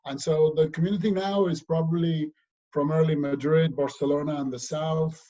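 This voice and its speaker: A middle-aged man from Spain, a guest in a casual remote interview, talking in a relaxed way with many pauses and fillers. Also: on the high side. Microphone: video call. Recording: dull lo-fi video call audio.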